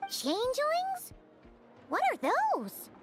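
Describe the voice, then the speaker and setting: A woman voicing a tiny, childlike fairy companion in a video game, squeaky, inquisitive and excitable. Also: slow pace, over loud music, exaggerated voice. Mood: curious, excited. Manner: talks of self in third person, inquisitive. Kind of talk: scripted video game character dialogue.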